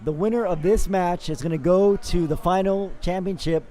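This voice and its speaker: A man with a raspy voice calling live sports play-by-play, hoarse after days of talking, upbeat and quick with frequent fillers. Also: sits high, steady tone, mellow. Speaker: play-by-play commentator.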